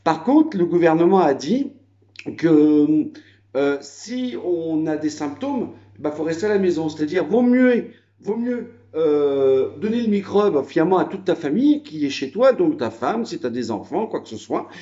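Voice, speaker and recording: A man's voice: fairly high pitch, very animated, measured pace, dark tone, lo-fi sound. A man from France chatting casually at his country home on a phone video, rambling with many fillers. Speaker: vlogger. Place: house in the countryside.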